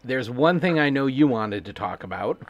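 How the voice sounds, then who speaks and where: A man co-hosting a podcast in casual chat, animated and quick with asides and casual swearing. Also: mid-range voice, muffled recording. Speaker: podcast co-host.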